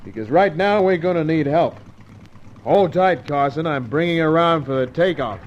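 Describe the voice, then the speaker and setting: A man playing a guarded, plain-spoken bush pilot who keeps to himself, in an old radio adventure serial. His voice sits a little high. The sound is dull, with a faint hiss behind it. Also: expressive, normal speed, close to an everyday voice. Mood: gruff. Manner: curt, folksy, guarded. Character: gruff secretive male bush pilot. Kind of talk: 1950s radio serial acting.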